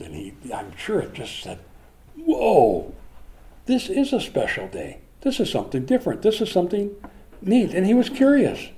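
A man preaching a conversational Bible lesson, animated at times and hushed at others. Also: mid-range voice. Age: older. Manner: animated, swings from loud to hushed.

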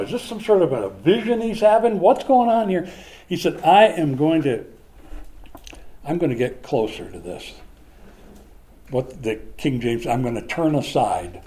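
A man preaching a conversational Bible lesson, animated at times and hushed at others. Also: on the high side. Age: older. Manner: animated, swings from loud to hushed.

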